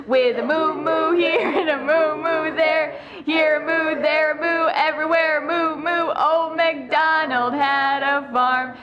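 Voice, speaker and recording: A woman's voice: sits high, steady tone, measured pace, muffled recording. A woman doing a playful children's singalong, imitating animal noises. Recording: music behind the voice. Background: music.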